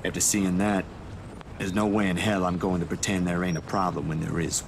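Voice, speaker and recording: A man's voice: low-pitched, little pitch movement, a little fast. A man playing an earnest, protective and stubborn young man in a visual novel game. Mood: determined. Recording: clear background noise.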